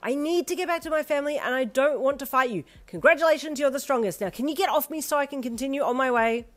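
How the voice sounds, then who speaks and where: A woman voicing a young woman traveler caught in a fight, speaking in loud, exclamatory retorts. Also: fast talker, lightly acted. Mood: exasperated. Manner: shrill, exclamatory pleading and retorts. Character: young woman traveler, scared then exasperated.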